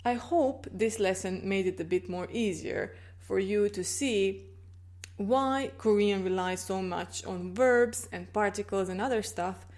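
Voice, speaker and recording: A woman's voice: mid-range voice, unhurried, a little mellow. Woman, language teacher, patient explainer, checks understanding often. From Croatia. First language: Croatian. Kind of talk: explaining grammar in a video lesson.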